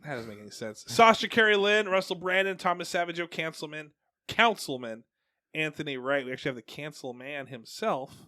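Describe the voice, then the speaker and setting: A man's voice: fairly high pitch, lively intonation, brisk, pushed and bright. A man co-hosting a casual podcast among friends in a garage, joking and teasing, reading out listener names. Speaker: podcast co-host.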